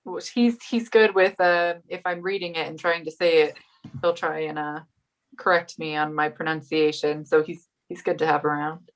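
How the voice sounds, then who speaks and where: Woman, guest, casual, chatty, lowish pitch. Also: steady tone, normal speed, low-quality recording. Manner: chatty, uses "like" a lot. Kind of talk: casual chat.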